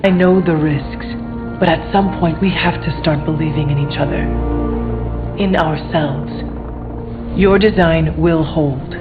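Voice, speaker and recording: Loyal determined huntress, deep female voice, unhurried, game dialogue, slightly muffled. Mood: resolute, encouraging. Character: determined, loyal demon-hunter woman. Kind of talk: video game dialogue acting. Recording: slightly dull game audio under music.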